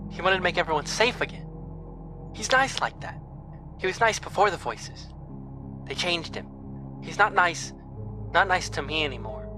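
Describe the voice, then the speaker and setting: An actor in a horror audio drama playing a sad, frightened young ghost girl who talks haltingly and repeats things like a child. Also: medium pitch, expressive, over music, lightly acted, rough recording. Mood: weary, sad. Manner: halting, repetitive, childlike speech.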